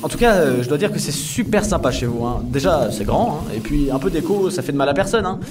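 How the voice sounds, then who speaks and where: A man's voice: a little high, lively intonation, brisk, over music, close to an everyday voice. A man playing a sarcastic, nervous clone henchman, rambling colloquially and swearing in a comedy audio drama. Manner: rambling, sardonic, foul-mouthed.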